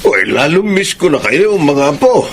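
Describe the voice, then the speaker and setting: A man playing an affectionate old provincial grandfather in a Filipino radio drama, gravelly and folksy, with a Filipino accent. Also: on the high side, very animated, measured pace. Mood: fond. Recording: faintly noisy radio drama broadcast.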